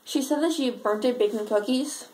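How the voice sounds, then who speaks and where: A sad, frightened schoolgirl played by a woman tells her story in a fairly high voice. The delivery is an earnest amateur monologue, halting and full of pauses. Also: darkish tone, close to an everyday voice, rough recording. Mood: wistful, sad.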